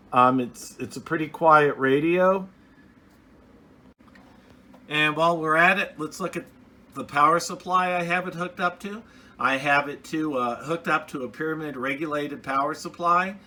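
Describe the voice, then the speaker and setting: Slow-talking man with a bright voice. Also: medium pitch. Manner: unscripted, halting with restarts and trailing phrases. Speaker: radio hobbyist reviewer. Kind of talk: casual hands-on product review.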